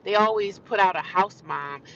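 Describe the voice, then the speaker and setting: A woman talks into her phone from behind the wheel, blunt and rambling, looping back over the same points as she vents to her viewers. Her voice is bright and tense, and the sound is muffled. A faint hum of road noise runs underneath. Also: mid-range voice, moderate pace, vocal fry. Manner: blunt, rambling, talkative and repetitive. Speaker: livestreaming vlogger. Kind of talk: casual venting livestream. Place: in a car while driving.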